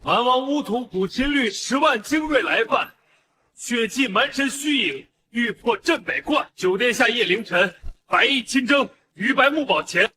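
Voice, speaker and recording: A man playing an urgent high-voiced shouting herald. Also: lively intonation, measured pace, full character voice. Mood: fervent. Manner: fervent, declamatory. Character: urgent imperial courier and herald shouting reports. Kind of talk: Chinese animated web drama voice acting.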